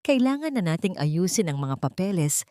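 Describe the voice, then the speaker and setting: A woman's voice: on the low side, very animated, moderate pace, warm tone, no room sound, clean studio sound. A woman voicing a smug, oppressive businessman with a condescending manner in an audiobook drama. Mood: impatient, cold.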